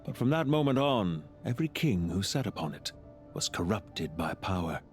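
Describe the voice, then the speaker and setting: A man playing a calm, courteous, scheming villain officer in a video game, speaking in a polite, formal, measured and theatrical way. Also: deep voice, faint music behind. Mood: sly, storytelling. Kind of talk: scripted video game voice acting.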